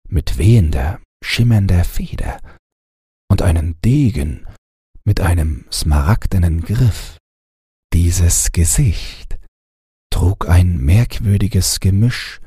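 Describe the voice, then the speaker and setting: Male audiobook narrator, slow pace, lively intonation, dramatic fairy-tale reading, measured, close dry sound. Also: sits low, breathy edge, no echo. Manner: measured, expressive storytelling.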